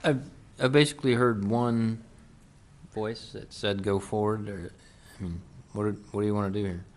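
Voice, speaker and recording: A man serving as mayor, running a council meeting in a brisk, businesslike way. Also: on the low side, fairly even intonation. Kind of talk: formal meeting procedure.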